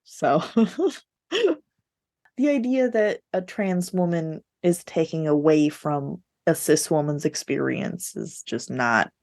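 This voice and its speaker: A woman's voice: low-pitched, lively intonation, slow, vocal fry, a little mellow. A woman co-hosting a podcast, casually discussing books in a candid, opinionated way with a readiness to laugh. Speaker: podcast co-host.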